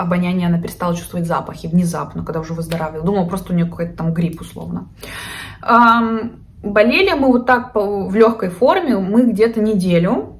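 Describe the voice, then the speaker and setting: A woman in her thirties talks straight to the camera in a chatty, expressive way. She sits in her living room and is recorded from desk distance, moving her hands as she talks. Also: mid-range voice. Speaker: vlogger, psychologist. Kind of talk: casual chat to camera.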